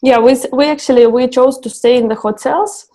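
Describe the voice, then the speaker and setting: Woman, halting interview English. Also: sits high, fairly even intonation, warm tone. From Russia. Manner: hesitant, many fillers and restarts. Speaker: guest, co-founder of a travel project.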